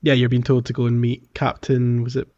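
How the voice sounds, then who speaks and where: A man's voice: mid-range voice, monotone, brisk, warm tone. A man co-hosting a gaming podcast in casual, conversational talk. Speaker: podcast host. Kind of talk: casual game discussion podcast. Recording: slightly dull, lo-fi voice track.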